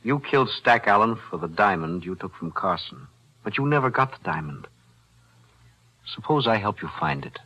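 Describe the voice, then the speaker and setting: A measured shrewd attorney, very deep bright voice, old radio drama. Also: male voice, slightly rough, exaggerated voice, low-quality recording. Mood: persuasive. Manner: calm, measured, deliberate. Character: calm shrewd lawyer. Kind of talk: 1940s radio drama acting. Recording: 1940s radio broadcast.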